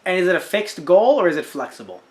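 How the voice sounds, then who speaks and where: A young man co-hosting a casual podcast, animated and jokey, slipping into silly voices. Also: high voice, fast. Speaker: podcast co-host. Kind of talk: casual chat.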